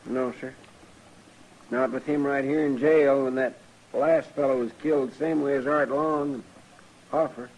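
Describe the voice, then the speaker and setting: An actor playing an eager, deferential frontier deputy in an old radio western, with a folksy, drawling, respectful manner. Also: male voice, mid-range voice, steady tone, normal speed, pauses often, a little mellow, close to an everyday voice. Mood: friendly, deferential. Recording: noisy old radio drama recording.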